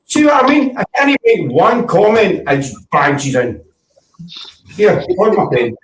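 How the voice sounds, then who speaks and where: A man's voice: high voice, lively intonation, moderate pace. Jokey man in an echoey room. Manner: jokey, rambling banter. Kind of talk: casual video chat. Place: at home. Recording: echoey room, far from the mic, faint noise.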